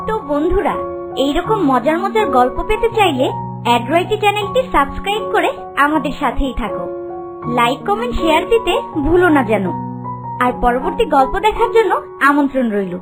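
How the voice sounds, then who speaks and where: A woman's voice: high voice, sing-song, a little fast. A woman announcer reading a scripted channel outro to viewers. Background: loud music.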